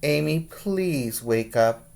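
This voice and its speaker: A man's voice: a little high, expressive, slow, gravelly, darkish tone, exaggerated voice. A man voicing an exasperated mother from a children's storybook, emphatic and pleading. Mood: coaxing. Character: exasperated storybook mother trying to stay nice.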